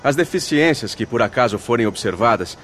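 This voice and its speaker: A man's voice: mid-range voice, lively intonation, a little fast, a bit bright, close to an everyday voice. Composed, devout male mission leader played by an actor, mid-range voice, methodical formal delivery, light music bed. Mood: composed, purposeful. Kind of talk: radio drama acting.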